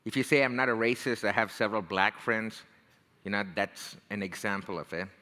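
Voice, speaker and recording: Indian-accented man, bright voice in a hall. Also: fairly low pitch, brisk. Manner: conversational, frequent "right?" and "you know". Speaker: speaker. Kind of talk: motivational talk.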